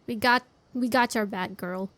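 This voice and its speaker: A woman's voice: fairly high pitch, normal speed, a bit bright, no room sound. A woman gamer giving casual, sympathetic playthrough commentary, talking to the characters on screen. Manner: talks to the game characters, sympathetic. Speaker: gaming streamer.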